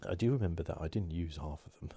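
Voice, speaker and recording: A man softly giving relaxed, hesitant ASMR commentary over a video game playthrough, close to the microphone. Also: low voice, fast talker. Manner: soft-spoken, relaxed, hesitant. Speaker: gaming video host. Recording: clear steady background noise.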